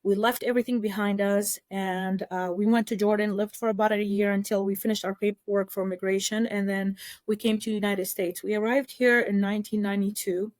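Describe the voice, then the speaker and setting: A woman in her forties from Iraq, a lawyer telling her life story as a podcast guest in an earnest, measured way with frequent pauses. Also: mid-range voice, little pitch movement, darkish tone, no echo. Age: 40s. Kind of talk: interview storytelling.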